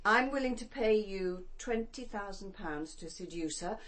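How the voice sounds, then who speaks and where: An actress playing a bitter, grieving grandmother coldly set on revenge in a radio drama, with a measured, confessional delivery. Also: medium pitch, lively intonation, fairly bright tone. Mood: cold, businesslike. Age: older.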